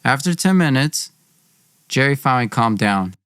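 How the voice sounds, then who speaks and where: A man's voice: medium pitch, animated, moderate pace, a bit bright, clean tone, no echo, studio quality. A young man hosting a podcast, telling a personal story in an informal, dramatized way. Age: young adult.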